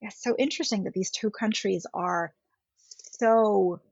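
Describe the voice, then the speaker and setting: A middle-aged woman whose first language is German, speaking as a political scientist guest on a podcast in a thoughtful, measured way. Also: medium pitch, gravelly, slightly rough, dry recording. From India. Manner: thoughtful, measured, often rephrasing. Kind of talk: podcast interview. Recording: dull lo-fi podcast audio.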